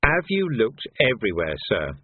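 A man voicing a shrewd, outwardly deferential police sergeant in an audiobook, measured and politely coaxing. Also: on the low side, wildly swooping pitch, husky, dry recording, heavily acted. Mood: tactful. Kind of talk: audiobook character voice. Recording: narrow, phone-like band.

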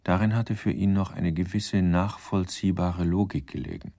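A man narrates a radio drama in a very low, dark voice with a gravelly creak. His delivery is measured, literary and wry. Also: fairly even intonation, no echo.

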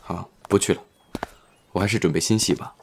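An actor playing a gentle, reserved, self-doubting male film actor in a Chinese audio drama. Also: low-pitched, steady tone, a little fast, slight background noise. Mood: quiet, gentle.

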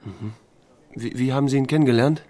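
A man's voice: mid-range voice, a little fast, dark tone, lightly acted. A man playing a laconic small-town sheriff who tells his own story in an audio drama, with a dry, understated manner. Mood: dry, probing. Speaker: narrator and lead actor. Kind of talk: first-person audio drama narration with dialogue.

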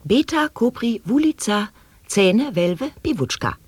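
A performer delivering a long experimental wordplay monologue in a studio radio play, halting and word by word. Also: medium pitch, sing-song, breathy edge, no echo. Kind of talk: experimental sound poetry monologue. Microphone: studio microphone.

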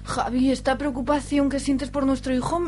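Actress as excitable, affectionate young sweetheart in radio soap comedy; high pitch, Spain accent, melodramatic, humming background. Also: female voice, moderate pace, close to an everyday voice. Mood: smitten. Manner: doting, melodramatic. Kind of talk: amateur comic radio soap acting. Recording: clear background noise with hum.

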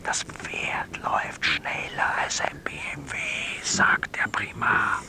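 A man's voice: fairly low pitch, very animated, slow, in a whisper, heavily acted. A man with an Austrian accent playing an eccentric phone-in caller in a radio drama, earnestly telling an absurd tale. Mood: dramatic, hushed.

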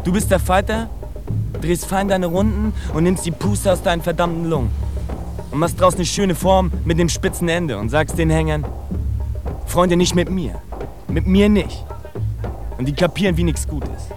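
An actor playing a proud, streetwise young fighter in a radio drama, speaking cocky slang straight at the listener. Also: male voice, high-pitched, moderate pace, pauses often, over music, lightly acted. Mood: proud, insistent.

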